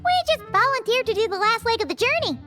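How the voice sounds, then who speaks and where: A woman voicing a tiny, curious female fairy companion in a video game, with a high squeaky voice and an inquisitive, excitable way of asking questions. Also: quick pace, faint music behind, heavily acted.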